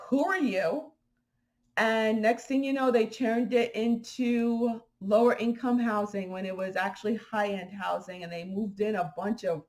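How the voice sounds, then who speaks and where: A woman from Haiti talking casually to her viewers in a vlog, animated and indignant, with fillers and restarts. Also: medium pitch. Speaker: spiritual vlogger. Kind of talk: casual spoken commentary to viewers.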